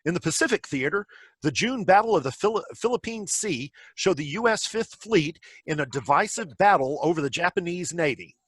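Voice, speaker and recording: A man's voice: high voice, animated, normal speed, fairly bright tone, husky, dry recording, full character voice. A man playing a solemn, factual wartime news announcer in a table read of a stage play over a video call. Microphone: computer mic on a video call.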